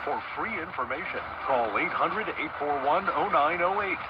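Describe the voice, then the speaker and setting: A man's voice: a little high, animated, normal speed, distant mic. Man on a dull, static-heavy radio. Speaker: radio announcer. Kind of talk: broadcast ad read.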